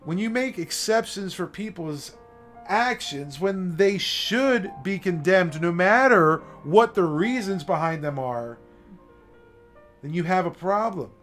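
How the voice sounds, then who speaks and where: Male streamer, slightly higher-pitched voice, passionate and emphatic, faint music underneath. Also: expressive, takes it slowly, fairly bright tone. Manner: passionate, emphatic, repeats "okay?" to drive points home. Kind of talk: late-night solo stream monologue. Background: faint classical music.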